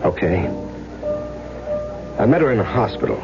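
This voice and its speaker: A man's voice: on the low side, huge pitch swings, normal speed, vocal fry, heavily acted. An American man acting in a 1970s radio drama as an earnest, pressing husband searching for his missing wife. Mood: coaxing. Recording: dull old radio recording, loud music.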